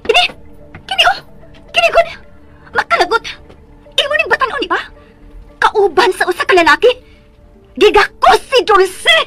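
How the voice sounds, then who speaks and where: A woman's voice: extremely high pitch, big pitch swings, normal speed, long pauses, pushed and bright, soft background music, full character voice. An actress playing a scheming, furious jealous woman in a melodramatic radio drama, with venomous delivery. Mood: furious, confrontational.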